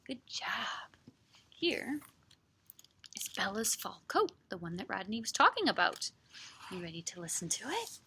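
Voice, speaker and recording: A woman hosting story time as a children's librarian, reading and chatting to young children in an animated, sing-song, encouraging way. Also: mid-range voice, measured pace, long pauses, a little hiss or hum. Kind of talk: expressive read-aloud and chat for young children.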